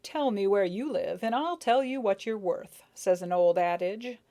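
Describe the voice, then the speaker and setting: A self-satisfied storybook rose beetle, indulgent and a little pompous, quick to bristle at rudeness, as a woman narrator puts him on. He lectures in a measured, well-pleased tone. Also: medium pitch, mellow, close to an everyday voice. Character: pompous, good-natured, touchy male rose beetle.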